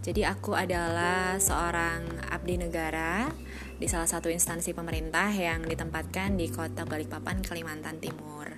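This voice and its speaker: A woman's voice: lowish voice, little pitch movement, normal speed. A young woman, chatting informally and casually as the host of an amateur solo podcast. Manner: chatty, informal, often chuckling. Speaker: first-time podcast host. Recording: music behind the voice. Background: music.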